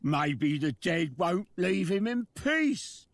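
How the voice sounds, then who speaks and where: A man's voice: sits high, very animated, takes it slowly, gravelly, no room sound, heavily acted. An actor playing a helpful, simple-minded townsman in an adventure game, with an obliging, guileless manner. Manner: obliging, simple, third-person self-reference.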